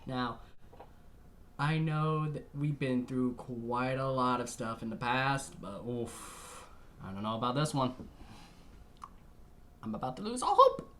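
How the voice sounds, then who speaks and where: A woman hosting a reaction video with casual, expressive commentary and theatrical exclamations. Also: very deep voice, slow, pauses often, a little hiss or hum.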